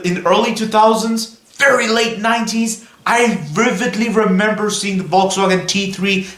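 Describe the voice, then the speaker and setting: A man's voice: a little high, a bit bright, roomy sound. A young man from Belgium chatting casually to viewers on his car vlog, rambling a little and often saying "guys". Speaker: car vlogger.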